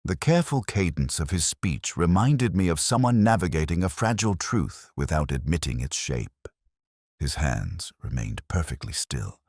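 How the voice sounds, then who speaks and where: An extremely low-voiced man narrates a detective tale in the first person as a melancholy investigator. His delivery is measured and literary, with lively rises and falls in pitch. The recording is dry, with no room sound around the voice. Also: slightly rough, no echo. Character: melancholy, reflective male police detective telling his own case.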